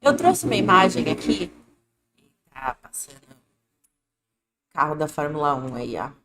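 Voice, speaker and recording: A woman's voice: low-pitched, sing-song, a little slow, fairly bright tone. A woman with a Brazilian Portuguese accent, teaching an English lesson on a live stream in a casual, direct way, often checking in with "né?" and "tá bom?". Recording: echoey room.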